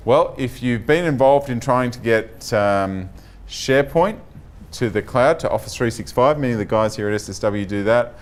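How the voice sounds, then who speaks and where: A man's voice: medium pitch, clear, resonant tone. A man presenting a conference tech talk as chief architect, opening with energy and many ums. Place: meeting room with audience.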